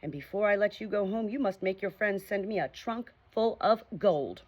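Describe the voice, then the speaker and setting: A woman's voice: animated, moderate pace, slightly rough. A woman voicing a gloating, blustering male pirate captain in a storybook reading. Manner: loud, taunting, high-pitched bluster.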